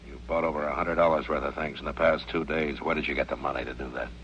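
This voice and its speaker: A man's voice: rumbling low, steady tone, quick pace, a bit bright. A man playing a grave, weary western marshal in an old radio drama, terse and sober. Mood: stern. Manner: terse, sober, plain-spoken. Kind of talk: 1950s-60s radio western acting. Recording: muffled, noisy old radio recording.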